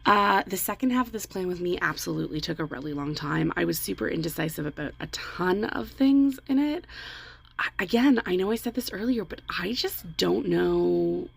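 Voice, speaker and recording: Croaky, gravelly woman vlogger. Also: on the low side, lively intonation, normal speed. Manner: rambling, self-deprecating, chatty. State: recovering from laryngitis. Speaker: planner vlogger. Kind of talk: casual voiceover narration. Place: home. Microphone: voiceover mic.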